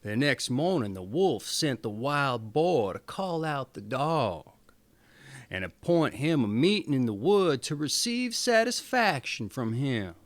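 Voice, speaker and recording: A man reading a fairy tale aloud as a storyteller, expressive and theatrical in his delivery. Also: mid-range voice, a little slow.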